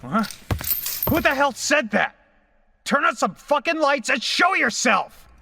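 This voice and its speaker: A man's voice: extremely high pitch, very animated, measured pace, vocal fry, pushed and bright, slight background noise, full character voice. A man playing a brash, rattled young rocker in a horror audio drama, colloquial, incredulous and foul-mouthed. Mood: annoyed, defensive. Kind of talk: horror audio drama acting and first-person narration.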